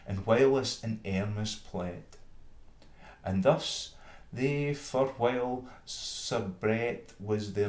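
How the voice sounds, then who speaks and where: A Scottish man reading an old fable in verse with measured, dramatic storytelling. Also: on the low side. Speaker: reader. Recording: roomy, echoing space.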